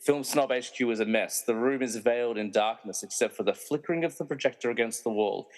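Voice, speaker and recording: A man's voice: mid-range voice, a little fast, darkish tone, natural voice. A man playing an opinionated, wry narrator who reads scene directions for a comedy mystery radio play. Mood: wry, scene-setting. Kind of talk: scripted narration. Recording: long echoing room reverb.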